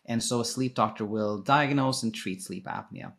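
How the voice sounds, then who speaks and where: A man's voice: lowish voice, moderate pace, gravelly, fairly bright tone. A man from Sweden who works as a sleep coach, answering interview questions in an informal, explanatory way and rephrasing as he goes. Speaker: sleep coach and former sleep physician, podcast guest.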